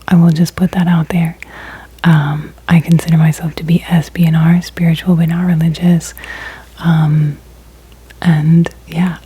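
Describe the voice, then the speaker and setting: Woman, breathy ASMR whisper, low, dark and mellow, husky. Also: rough recording. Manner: breathy whispering with frequent ums. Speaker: ASMR creator. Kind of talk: ASMR soft-spoken chat.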